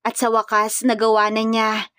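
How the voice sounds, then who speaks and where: A woman's voice: on the high side, completely flat delivery, normal speed, a bit bright, no echo. A woman reading scripted dramatic story narration as a storyteller.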